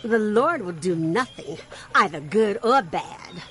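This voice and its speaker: A man's voice: sits high, lively intonation, unhurried, sharp tone, heavily acted. A man voicing a smug, complacent scoffer with a mocking, dismissive manner in dramatized scripture.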